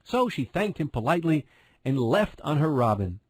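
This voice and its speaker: A man's voice: on the high side, big pitch swings, normal speed, darkish tone, slightly rough, no echo. A man narrating a fairy tale as a scripted storyteller.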